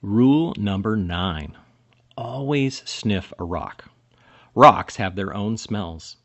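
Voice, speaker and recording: Animated man reading a children's storybook. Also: lowish voice, vocal fry. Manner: dramatic pauses and emphasis for children. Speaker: storybook reader. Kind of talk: expressive children's picture-book read-aloud.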